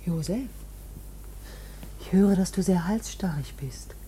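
Low, slow, whispering seductress. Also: female voice, dark tone, a little hiss or hum, low-quality recording. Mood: coaxing, possessive. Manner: intimate, slow, breathy whispering. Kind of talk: radio drama acting.

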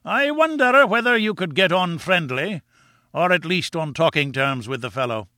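Elderly Scottish newspaper editor, played by a male reader, avuncular and exclamatory, raised pitch, storybook dialogue. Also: animated, normal speed, bright, forceful tone, no echo, clean studio sound. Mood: musing, encouraging. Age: older. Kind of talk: audiobook character dialogue.

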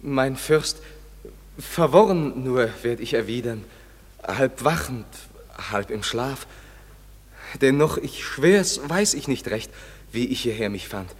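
Young lover, husky, hesitant, earnest, radio play, hall reverb. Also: male voice, mid-range voice, expressive, slow, long pauses. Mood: bewildered. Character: young lover, dazed and half awake. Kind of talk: classic radio play acting.

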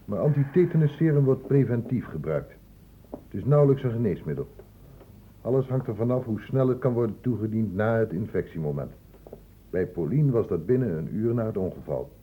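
An actor playing a stern doctor in a radio play, patient but testy, explaining things with measured, sardonic authority. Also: male voice, fairly low pitch, animated, slightly rough. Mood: lecturing, firm. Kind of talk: Dutch radio drama acting. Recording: muffled, narrow-band old radio recording, noisy.

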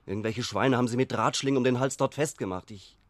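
A worried, timid workmate played by a male actor in a radio drama. He has a mid-pitched voice in a dry, close sound and speaks with an anxious, hesitant manner. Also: quick pace, no echo, close to an everyday voice. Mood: worried, uneasy.

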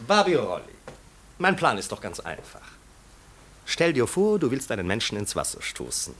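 An actor playing an excitable, self-assured marquis in a 1950s radio play, theatrical and musing in his delivery. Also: medium pitch, normal speed. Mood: insistent, musing. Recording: 1950s radio drama recording.

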